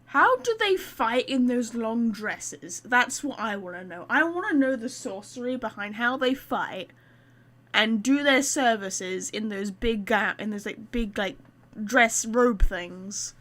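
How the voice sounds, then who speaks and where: A woman game streamer with a highish, very bright voice. She talks casually to her chat and adds wry, informal commentary as she plays. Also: animated, a little slow.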